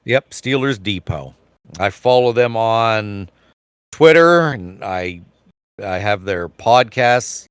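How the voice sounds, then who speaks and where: A man co-hosting a casual podcast, with a slightly low voice. He rambles easily and fills every gap with fillers and half-started sentences. His voice is recorded dry, close to the mic. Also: expressive, slow pace, smooth, no room sound. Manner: rambling, many fillers and restarts. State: losing his voice, clearing his throat. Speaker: podcast co-host. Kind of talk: casual chat.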